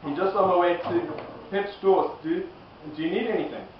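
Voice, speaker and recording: A man performing semi-improvised comic dialogue, halting and giggly as he finds his way through the script. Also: high-pitched, slight background noise. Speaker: amateur performer reading his part in a comic skit. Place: live performance in an echoey room. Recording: very echoey, distant, muffled room recording.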